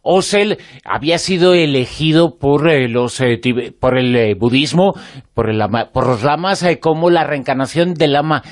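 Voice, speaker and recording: A man working as a radio interviewer, putting questions haltingly with pauses mid-sentence. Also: fairly high pitch.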